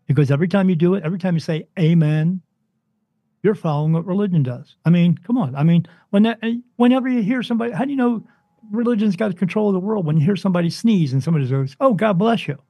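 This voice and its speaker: A man from New Jersey, a spirit medium interviewed as a guest, talking emphatically and insistently, his voice soothing and deep. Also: animated, quick pace, slightly rough, no echo, highs cut off. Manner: emphatic, insistent, swings up in pitch when stressing points. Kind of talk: interview, impassioned preaching monologue.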